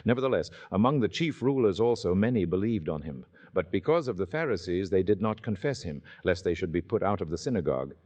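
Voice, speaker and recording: A man with a low voice reads scripture as a solemn narrator. His delivery is measured and theatrical, in the manner of old-time radio drama. The recording sounds muffled and band-limited. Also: animated, a little mellow.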